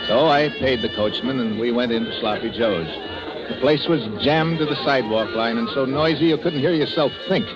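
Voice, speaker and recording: A man's voice: mid-range voice, moderate pace, close to an everyday voice. An actor with an American accent in a 1940s radio drama, playing a tough, devoted man telling his tragic tale in terse slang. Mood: reflective narration. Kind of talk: 1940s hardboiled radio acting and narration. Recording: dull 1940s radio, loud music and crowd.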